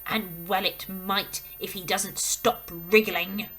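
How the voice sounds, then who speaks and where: A woman voicing a huge, brutal headmistress who bellows her orders slowly and with heavy emphasis. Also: medium pitch, fairly even intonation, fairly bright tone. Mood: bellowing. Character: huge, brutal female headmistress, bullying and thunderous.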